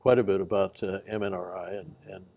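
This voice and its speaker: Mellow low-voiced male host. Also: steady tone, brisk, lo-fi sound. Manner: gentle, hesitant, many ums. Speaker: talk show host. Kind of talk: interview.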